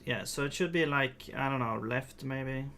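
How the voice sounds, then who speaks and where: A man's voice: medium pitch, moderate pace, bright, forceful tone, a little hiss or hum. A man with a Swedish accent, casually livestreaming while he codes, thinking aloud in mumbled half-sentences and chuckling now and then. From Sweden. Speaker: streamer.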